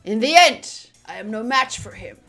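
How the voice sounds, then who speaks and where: A woman streaming a visual novel, reading aloud and chatting casually. Her voice is fairly high and bright, with lively, playful swings and faint game music behind. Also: moderate pace. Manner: animated, playful, exclaiming.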